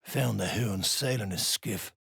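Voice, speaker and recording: An actor playing a foul-mouthed, surly duergar dwarf fighter in a video game, with a rough, husky voice and coarse slang. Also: male voice, lowish voice, a little slow, fairly bright tone, no echo, studio quality, heavily acted. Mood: gruff, surly. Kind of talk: video game character lines.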